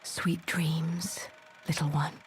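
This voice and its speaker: A gentle, protective witch mother from a video game cutscene. She takes her time with every phrase in a fairly low register, tender and reassuring. Music plays clearly behind her. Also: little pitch movement, slow.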